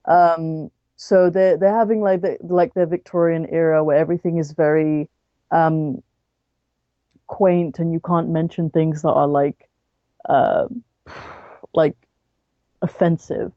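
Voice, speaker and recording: A woman from the UK with a British accent, talking casually and haltingly as a podcast guest, peppering her sentences with "like". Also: low voice, steady tone, no echo, lo-fi sound. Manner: halting, frequent "like". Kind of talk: casual interview storytelling.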